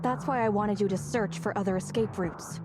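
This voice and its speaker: A woman's voice: medium pitch, normal speed, close to an everyday voice. An actress playing a stern, guarded female soldier in a video game, speaking in a clipped, formal military manner. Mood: serious. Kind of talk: video game story dialogue. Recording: loud game music behind voice. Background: loud music.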